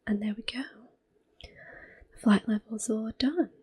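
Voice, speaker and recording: A woman giving gentle, unhurried ASMR game commentary in a soft, breathy voice that often drops to a whisper. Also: a little high. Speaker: gameplay host. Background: video game music.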